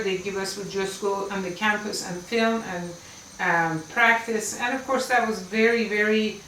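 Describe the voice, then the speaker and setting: A woman's voice: on the high side, bright, forceful tone, slight background noise. A woman in her seventies whose first language is Farsi, earnestly telling her life story as an Islamic lecturer, with the odd small laugh. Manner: earnest, emphatic, with little laughs. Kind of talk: personal storytelling talk. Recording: roomy, distant mic with faint ambience.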